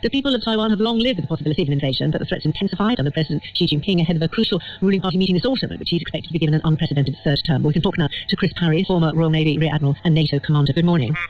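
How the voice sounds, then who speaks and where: A woman's voice: deep voice, racing, hardly any pauses. A British woman presenting live radio news and putting questions to guests as an interviewer. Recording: slightly dull radio broadcast.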